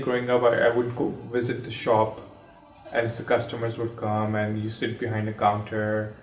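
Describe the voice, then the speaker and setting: Distant man with a flat, even voice. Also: on the low side. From Pakistan. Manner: hesitant, many fillers and restarts. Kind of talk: reflective personal storytelling. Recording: echoey room, distant mic.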